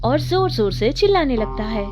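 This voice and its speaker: A woman narrating a children's cartoon story in a steady storytelling manner. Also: a little high, normal speed, over music.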